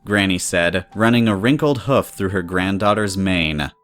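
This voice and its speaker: Low-pitched male story narrator. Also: normal speed, fairly bright tone.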